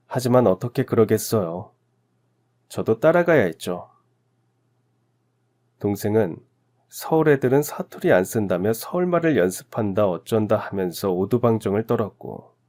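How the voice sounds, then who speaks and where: A man from Daegu narrating his own life story in a calm, reflective, confessional first-person style. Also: fairly low pitch. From Daegu, South Korea.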